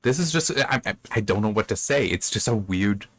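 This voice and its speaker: Male book commentator, lowish pitch, dry sound; casual, puzzled, meandering delivery with halting repeats. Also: expressive, vocal fry, a bit bright, slightly rough, dry recording. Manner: bemused, rambling, halting with repeated words. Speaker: book reviewer reading and commenting. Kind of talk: casual unscripted commentary on a story.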